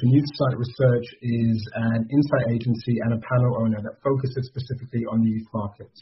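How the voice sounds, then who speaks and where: A man from Zimbabwe and South Africa, an easygoing guest chatting in a podcast interview. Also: medium pitch. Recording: dull lo-fi audio.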